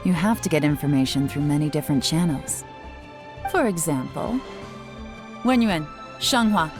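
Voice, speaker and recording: A woman playing a composed, shrewd intelligence chief in a video game, with a cool, assured, deliberate delivery. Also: deep voice, lively intonation, music in the background. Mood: cool, instructive.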